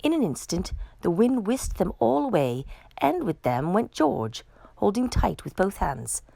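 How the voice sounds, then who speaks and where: A woman reading a children's picture book aloud with expressive, dramatic storytelling. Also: mid-range voice, measured pace, gravelly, no room sound.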